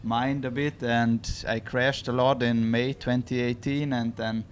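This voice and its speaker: A man's voice: mid-range voice, level tone, unhurried, a little hiss or hum. Young Austrian man, hesitant English. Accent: Austrian German. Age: young adult. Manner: hesitant, many "uh"s, short agreeing replies. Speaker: guest athlete. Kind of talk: casual interview answers. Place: hotel.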